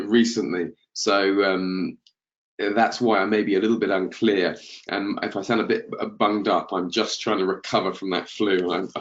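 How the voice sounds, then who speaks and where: A man's voice: fairly low pitch, muffled recording. A man recovering from the flu, sounding bunged up and coughing now and then, hosting a market-analysis webinar with lots of hesitant ums and restarts.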